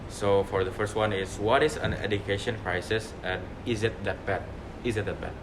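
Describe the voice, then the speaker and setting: A man's voice: fairly low pitch, normal speed. A young man from Indonesia moderating a student group discussion as its leader. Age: young adult. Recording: noisy room with steady background hum.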